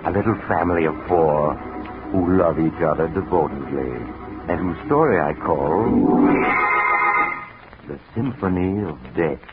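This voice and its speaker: An actor playing a sinister, urbane narrator of eerie tales, ominous and wry, in a 1940s radio horror style. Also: male voice, sits low, a little slow, long pauses, loud music behind the voice. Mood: ominous invitation. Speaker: host and narrator. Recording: noisy, narrow-band 1940s radio recording.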